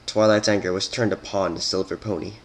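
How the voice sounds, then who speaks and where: A man's voice: sits low, steady tone, fairly quick. A man reading scripted story narration as a dramatic reading.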